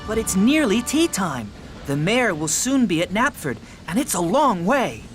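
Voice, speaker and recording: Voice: mid-range voice, very animated, unhurried, faint music behind. An actor voicing a cheeky, eager young male steam engine in a children's cartoon, earnest and exclamatory. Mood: earnest, hurried. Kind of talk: children's cartoon character acting.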